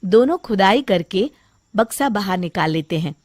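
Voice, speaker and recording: A woman reading scripted narration for an animated moral story. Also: medium pitch, normal speed, dry recording, clean studio sound.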